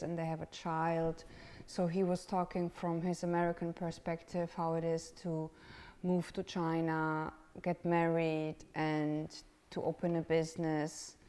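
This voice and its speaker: Hesitant woman, low slow voice. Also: dark tone. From Romania. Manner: hesitant, searching for words with many fillers. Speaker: interviewee, artist in residence. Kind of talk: casual interview answers.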